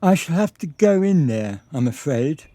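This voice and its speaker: A man's voice: on the high side, sing-song, moderate pace, warm tone, no room sound. An actor playing an insistent, formal and commanding man in a radio drama. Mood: urgent, insistent.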